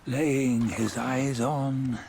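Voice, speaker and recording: A solemn cryptic guide in a fantasy game, unhurried n scornful, archaic wording, noisy background. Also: male voice, medium pitch, steady tone, creaky. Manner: measured, solemn, archaic phrasing. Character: grave, judgmental male ferryman guide, cryptic and mocking. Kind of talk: fantasy game cutscene acting.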